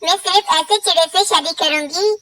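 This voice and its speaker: A woman's voice: very high-pitched, moderate pace, crowd noise. A woman voicing a dreamy, wistful young female bird in a children's cartoon. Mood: hopeful, decided. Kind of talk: Hindi children's cartoon dialogue.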